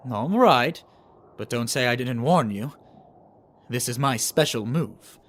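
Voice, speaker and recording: A confident alien warrior serving as an aide in an animated story, played by a man. The pitch is average for a male. The delivery is earnest, measured and self-assured. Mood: bold, confident. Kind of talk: amateur animation voice acting.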